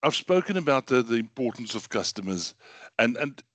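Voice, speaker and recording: A middle-aged man with a hoarse voice from bronchitis, giving a casual online lecture with lots of ums and repeated words. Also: mid-range voice, sing-song, moderate pace, a bit bright, no room sound. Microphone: computer mic.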